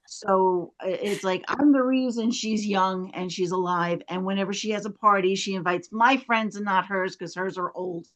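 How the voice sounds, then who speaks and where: A woman's voice: medium pitch, steady tone, normal speed, few pauses, poor audio. A woman telling a casual anecdote in a chatty way, acting out bits of dialogue as she goes. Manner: chatty, reenacts dialogue when retelling.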